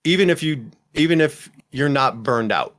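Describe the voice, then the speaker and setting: A man's voice: medium pitch, expressive, normal speed, fairly bright tone. A man hosting a podcast in casual chat, rambling and self-deprecating, with many restarts. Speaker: podcast host.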